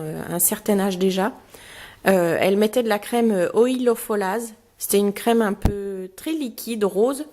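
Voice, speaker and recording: A woman with a cold and a blocked nose, chatting through a beauty box review with many pauses and fillers and reading product cards aloud. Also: mid-range voice, a little mellow. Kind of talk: casual unboxing review.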